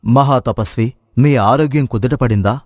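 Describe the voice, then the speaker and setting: A man acts out a middle-aged king from a moral tale, generous and full of praise. His mid-pitched voice moves in formal, regal phrases. It is recorded dry, with no echo. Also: moderate pace, lightly acted. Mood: grateful, admiring. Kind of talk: dramatised moral folk tale.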